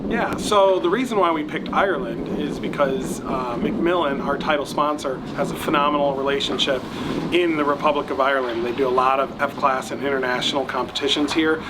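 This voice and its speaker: A man's voice: mid-range voice, fairly quick, heavy background noise. An American man, the founder of a competition, answering interview questions in an earnest, casual way with many fillers. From United States. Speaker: match founder and president.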